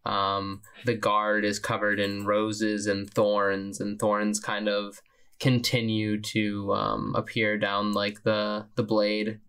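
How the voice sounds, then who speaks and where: A man with a fairly low voice plays a tabletop role-playing game. He describes his character's actions casually, halting as he searches for words. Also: fairly bright tone, clean tone. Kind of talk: casual role-play narration.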